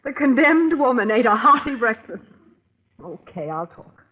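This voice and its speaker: A woman's voice: a little high, sing-song, moderate pace, husky, narrow, tinny audio. An actress playing a shrewd, wisecracking, plucky young woman in a 1940s radio thriller. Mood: wry, sharp. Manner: wry, wisecracking. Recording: boxy, echoing 1940s radio recording.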